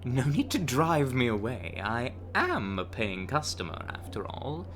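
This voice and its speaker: A man's voice: mid-range voice, sing-song, unhurried, slight background noise. A man playing a charming, teasing, smitten young bard in an intimate ASMR romance roleplay, coaxing the listener. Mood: playful teasing. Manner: teasing, coaxing, with soft chuckles and hesitant asides.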